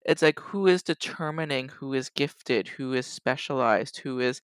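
A man speaking personally on a panel, in a halting, conversational way full of verbal fillers. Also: mid-range voice, clean tone, no echo. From Toronto, Canada. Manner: halting, frequent "you know" and "like".

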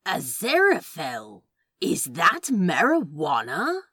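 Woman voicing husky, genteel Aziraphale, an amiable man. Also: fairly low pitch, wildly swooping pitch, slow pace, a bit bright, dry recording, clean studio sound, full character voice. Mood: mildly surprised. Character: Aziraphale, genteel, friendly man who smokes on occasion. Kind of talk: fan fiction podfic character acting.

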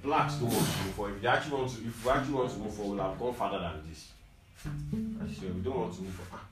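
A Nigerian man telling stories in casual, slangy Pidgin-mixed English, animated and repeating himself for emphasis. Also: on the low side, fast talker. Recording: echoey room, distant mic, music behind.

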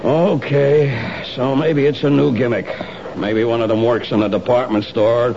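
Skeptical cop with a dry drawl, played by an actor, vintage radio play, muffled with loud hiss. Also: male voice, mid-range voice, steady tone, measured pace, natural voice. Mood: dismissive. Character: skeptical, wry middle-aged police lieutenant. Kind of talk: 1970s radio drama acting. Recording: dull old radio broadcast with loud hiss.

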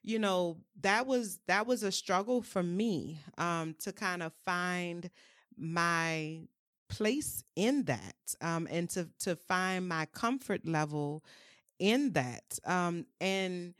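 Candid woman, lowish voice. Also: slow, no echo, clean studio sound. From New Orleans, US. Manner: open and frank, many "um"s and "you know"s. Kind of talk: candid personal conversation.

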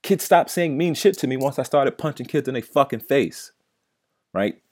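A man's voice: mid-range voice, lively intonation, normal speed, slightly rough, no echo, clean studio sound. A young man hosting a casual solo podcast, talking bluntly and with a lot of swearing. He stutters at times and keeps ending his points with "right?". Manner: often ends points with "right?". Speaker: podcast host.

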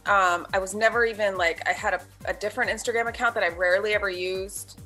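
A middle-aged woman guesting on a podcast, talking in a relaxed, candid way with lots of fillers and restarts. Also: mid-range voice, fast, soft background music. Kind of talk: casual podcast chat.

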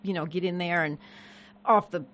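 Bright-voiced co-host explaining. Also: mid-range voice, fairly even intonation, moderate pace. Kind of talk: casual chat.

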